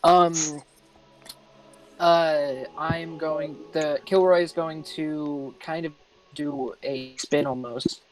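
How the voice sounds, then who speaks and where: Higher-pitched man, hesitant roleplay player. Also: steady tone, takes it slowly, soft background music, rough recording. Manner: hesitant, thinking aloud, describing moves in third person. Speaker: player in a tabletop roleplay game. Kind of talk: casual game chat. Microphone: online voice call.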